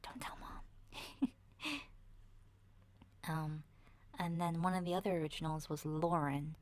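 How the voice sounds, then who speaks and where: Woman doing a soft whispery kid girl in zombie apocalypse asmr, kinda low voice, chatty and rambling, stumbles over words. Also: fairly even intonation, takes it slowly. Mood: gossipy. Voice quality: soft, breathy, often slipping into whispers. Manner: hesitant, rambling, youthful casual phrasing. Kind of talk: soft-spoken ASMR roleplay monologue.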